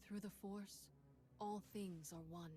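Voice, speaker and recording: A woman playing an earnest, steadfast Jedi in a video game, speaking in a measured, guiding manner. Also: medium pitch, steady tone, warm tone, close to an everyday voice. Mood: calm, guiding. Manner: measured, soothing instruction. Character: female Jedi guide, earnest, calm, steadfast. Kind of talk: video game cutscene acting. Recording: music clearly behind the voice.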